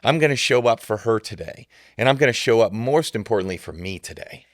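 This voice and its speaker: A man's voice: fairly low pitch, expressive, brisk, dry recording, studio quality. A man co-hosting a podcast, talking sincerely and supportively, often repeating a word as he starts a thought. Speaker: podcast co-host. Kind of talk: casual podcast chat.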